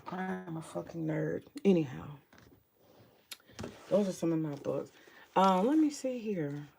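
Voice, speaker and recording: Deep gravelly-voiced woman, unhurried. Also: lively intonation, dark tone, slight background noise. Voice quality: deep morning voice. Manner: rambling, swears freely, mutters to herself while searching. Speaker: vlogger sharing her book collection. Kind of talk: casual home vlog chat.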